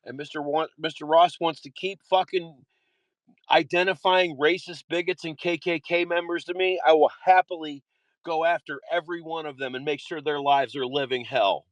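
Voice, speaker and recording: A man's voice: on the high side, steady tone, moderate pace, dry recording. A man delivering an impassioned monologue in a vehement, repetitive way, often swearing. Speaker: activist.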